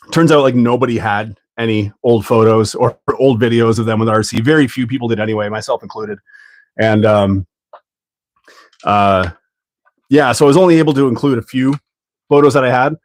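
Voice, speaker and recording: A man from Canada hosting a hobby livestream, talking in a rambling, relaxed way full of ums while stuffed up with a cold. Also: mid-range voice, dry recording. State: congested from a cold. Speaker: livestream host. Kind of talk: casual livestream chat while building.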